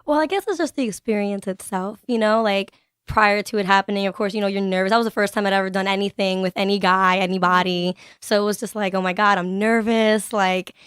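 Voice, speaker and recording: A woman's voice: medium pitch, steady tone, a little fast, a bit bright, clean tone, no echo, clean studio sound. A young woman chatting casually as an interview guest, giggly and self-deprecating. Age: young adult. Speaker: guest, student writer discussing own story.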